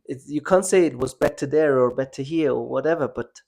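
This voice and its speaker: Man from Switzerland, podcast host, soft mellow tone, thinks aloud with restarts. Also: medium pitch. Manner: reflective, halting, many restarts and fillers. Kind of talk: casual personal conversation.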